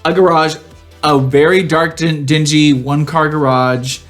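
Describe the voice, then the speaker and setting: A man with a slightly high voice tells personal stories in a casual chat. His manner is campy and sassy, peppered with slangy asides, and there is some music in the recording. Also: fairly even intonation, measured pace. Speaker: podcast co-host. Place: home garage studio.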